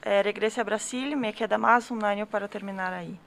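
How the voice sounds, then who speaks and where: A woman's voice: mid-range voice, steady tone, fairly quick, creaky, a bit bright. A woman in her twenties from Brazil, Portuguese her first tongue, speaking Spanish hesitantly as a guest and searching for her words. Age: 20s. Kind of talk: casual conversation.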